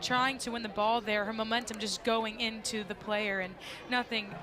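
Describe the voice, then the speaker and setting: Female soccer analyst, slightly high voice, live broadcast over crowd noise. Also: fairly even intonation, normal speed. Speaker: sports colour commentator. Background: stadium crowd.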